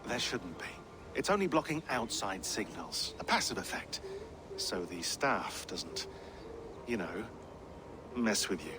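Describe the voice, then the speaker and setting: Sardonic, low-voiced man, very animated. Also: measured pace, pauses often. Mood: firm, reassuring. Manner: wry, pedantic, dry. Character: dry, sardonic man, research teammate. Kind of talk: naturalistic video game acting. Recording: clear noise, ambience behind the voice.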